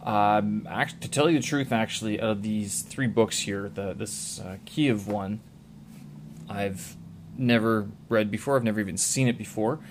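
Man, lower voice, loose rambling vlog monologue full of ums and restarts. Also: a little slow, slight background noise. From Canada. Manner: rambling, many filler sounds and self-corrections. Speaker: vlogger. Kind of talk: casual monologue to camera.

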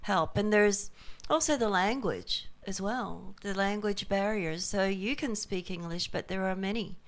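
A woman interviewing a guest in a relaxed conversation, engaged and quick with murmured replies. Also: mid-range voice. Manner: engaged, frequent backchannel murmurs.

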